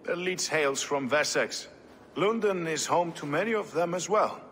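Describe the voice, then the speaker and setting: An actor playing a hard, cynical medieval warrior in a game cutscene, with a blunt, wry and sceptical way of talking. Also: male voice, fairly high pitch, normal speed, a bit bright, natural voice. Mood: wary. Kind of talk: dramatic game cutscene acting. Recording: reverberant hall, faint ambient noise.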